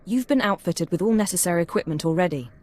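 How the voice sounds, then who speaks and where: An actress playing a proud intelligence analyst in a video game, crisp, precise and businesslike. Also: female voice, fairly low pitch, animated, quick pace, a little mellow. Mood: crisp, aloof. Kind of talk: video game cutscene acting.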